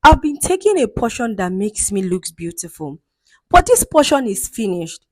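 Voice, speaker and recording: A woman's voice: medium pitch, sing-song, moderate pace. A woman voicing a kind, insecure young village woman in a folktale, earnest and plaintive. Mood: nervous confession.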